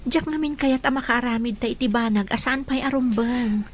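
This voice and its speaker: A woman's voice: fairly high pitch, normal speed, a little hiss or hum, natural voice. A woman playing a sweet, hesitant young woman in love in a radio drama, with a Filipino accent. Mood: tender, earnest.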